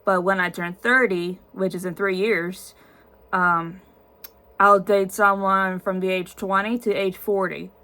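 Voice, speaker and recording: A woman's voice: mid-range voice, fairly even intonation, unhurried, sharp tone. A woman in her twenties, tired, answering viewer questions in a casual vlog with many ums and restarts. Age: 20s.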